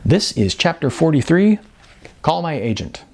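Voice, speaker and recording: A man's voice: fairly high pitch, sing-song, measured pace, gravelly, a little mellow, husky. A man narrating as an author, reading from his own fiction. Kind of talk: scripted audiobook-style narration.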